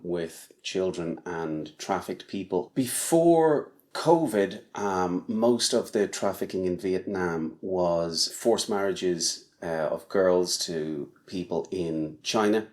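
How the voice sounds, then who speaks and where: A man in his forties speaks slowly and carefully in an interview. His voice is very deep, and he often hesitates with ums between phrases. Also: a little mellow. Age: 40s. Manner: slow, measured, hesitant with ums. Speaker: charity walker. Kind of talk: casual interview answers.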